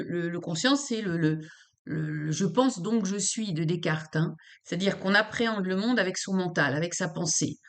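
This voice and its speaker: A woman from France, a psychopractitioner explaining psychology in an informal talk to camera, hesitant with many fillers and repeated words. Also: mid-range voice.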